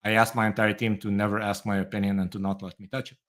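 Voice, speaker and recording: A man's voice: on the low side, level tone, fast talker, a bit bright. A middle-aged man from Moldova who grew up in Israel, answering interview questions thoughtfully with light laughs. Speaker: guest, tech entrepreneur.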